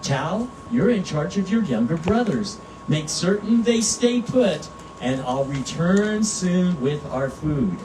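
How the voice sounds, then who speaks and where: A woman voicing a gentle, dutiful mother lioness from a storybook, motherly and instructing. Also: lowish voice, very animated, slow. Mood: firm, motherly. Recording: roomy, distant mic, clear background noise.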